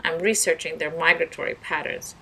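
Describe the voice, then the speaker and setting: A woman voicing a stern, high-minded environmentalist doctor in a storybook, emphatic and indignant. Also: steady tone, fairly quick, bright, forceful tone, slightly rough, exaggerated voice, rough recording.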